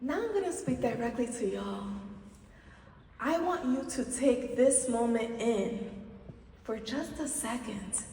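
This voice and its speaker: A woman from the Dominican Republic giving a commencement keynote, speaking slowly and deliberately with emphatic pauses. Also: a little high, animated, background noise. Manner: slow, deliberate, rhetorical speech with emphatic pauses. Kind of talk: commencement speech. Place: large auditorium stage. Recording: distant, echoey hall with long reverb.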